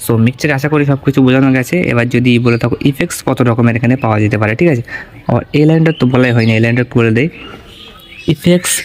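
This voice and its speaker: A man talks rapidly at a middling pitch as he reviews audio gear. He explains things informally and keeps checking that the listener is following. Faint ambient noise sits behind his voice. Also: a little mellow. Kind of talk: informal product walkthrough.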